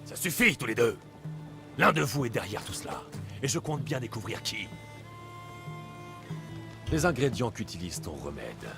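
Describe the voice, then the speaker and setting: A stern male mercenary speaks in a creaky voice with very varied intonation. He sounds firm and menacing, a video game hero over clear music. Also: fairly low pitch, big pitch swings, normal speed, pauses often. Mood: stern, threatening. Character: stern heroic male mercenary investigating a crime. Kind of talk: dramatic video game acting.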